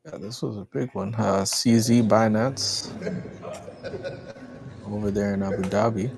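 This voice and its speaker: Gravelly, very deep-voiced man livestreaming. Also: fairly even intonation, unhurried, a little mellow, slight background noise. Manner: slangy, repetitive, addressing the chat. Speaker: livestream host. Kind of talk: casual livestream commentary on news.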